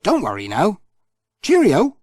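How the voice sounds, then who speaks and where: A male narrator plays a cheerful, kindly village postman from a children's storybook. The voice is friendly and exclamatory, leaping high and swooping, on a dry recording. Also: wildly swooping pitch, a little slow, a little mellow, dry recording, exaggerated voice. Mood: reassuring. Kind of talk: children's audiobook character voice.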